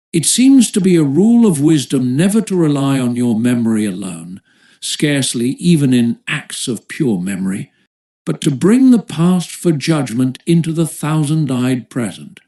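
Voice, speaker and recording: Higher-voiced man reading a classic essay. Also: warm tone. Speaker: audiobook narrator. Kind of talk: audiobook narration of a 19th-century essay.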